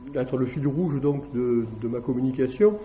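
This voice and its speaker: A man's voice: mid-range voice, normal speed, a little hiss or hum. A man, a classics teacher, giving a hesitant public talk to an evening audience, with many fillers and tag questions. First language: French. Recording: echoey dull room recording.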